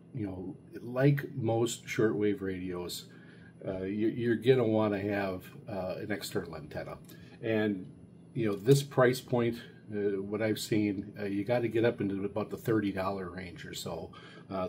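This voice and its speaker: A man giving a casual, unscripted product review as a video host, rambling haltingly and often stammering over repeated words. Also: fairly low pitch. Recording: steady background noise.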